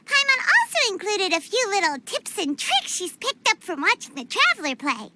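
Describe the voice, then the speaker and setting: A woman voicing a tiny, excitable floating girl companion who talks about herself in the third person, in a video game cutscene. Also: extremely high pitch, moderate pace, heavy background noise. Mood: excited. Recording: game audio with music behind.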